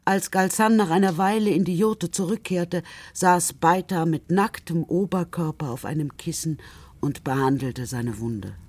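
Low-pitched woman narrating a story. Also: unhurried, a little mellow, no room sound. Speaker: narrator of a children's radio play. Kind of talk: scripted literary narration.

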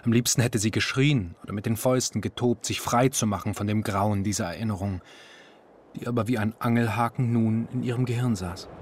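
An actor narrating a literary radio drama in German in a measured, sober way. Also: male voice, lowish voice, husky. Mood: grave.

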